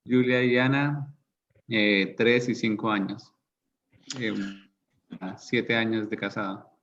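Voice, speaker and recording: A man's voice: fairly low pitch, fairly even intonation, slow pace, fairly bright tone, a little hiss or hum. A man from Colombia introducing himself in an online class, hesitant with lots of fillers. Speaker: student. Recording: muffled lo-fi video call audio.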